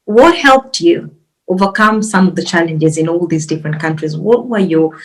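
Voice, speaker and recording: A woman's voice: lowish voice, expressive, moderate pace, low-quality recording. A woman hosting a podcast interview in a conversational way, reflectively rephrasing and summing up as she goes.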